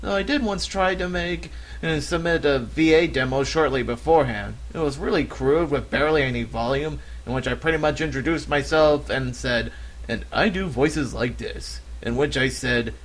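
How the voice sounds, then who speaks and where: A man's voice: a little high, normal speed, few pauses, rough recording. A man in his thirties with an American accent, an amateur voice actor giving a question-and-answer monologue on a cheap microphone. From United States. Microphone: cheap flexible desktop microphone.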